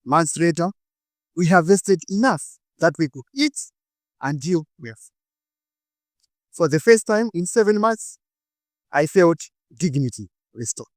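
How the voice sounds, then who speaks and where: A young man with a Malawian English accent speaks in a fairly high voice, breaking his lines into short, weighty phrases with deliberate pauses. The sound is close and dry, with no room echo. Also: animated. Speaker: speaker giving a stage talk. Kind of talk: rehearsed inspirational talk. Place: stage.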